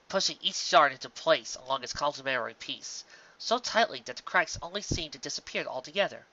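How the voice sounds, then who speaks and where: A man's voice: on the high side, sharp tone, highs cut off. A man reading a scripted story as its narrator, with dramatic delivery.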